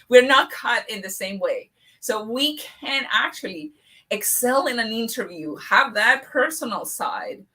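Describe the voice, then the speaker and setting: Latin American-accented woman, lively panel speaker with frequent restarts, heard from a distance. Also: medium pitch. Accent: Latin American Spanish. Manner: animated, many restarts and fillers. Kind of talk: live online panel talk.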